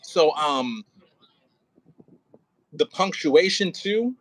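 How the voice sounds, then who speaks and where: A man's voice: fairly high pitch, expressive, drawn-out words, no room sound, low-quality recording. A man giving informal, conversational rehearsal notes as a director, often demonstrating how lines could be read. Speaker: audio play director coaching an actor. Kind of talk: casual rehearsal coaching and line notes.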